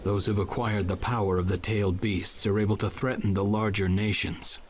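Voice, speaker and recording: Actor as laid-back ninja mentor; deep, calm, understated delivery for game dub. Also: male voice, normal speed, noisy background, lightly acted. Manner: calm, low-key, thoughtful. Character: calm, weary adult male ninja teacher. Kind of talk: game cutscene English dub.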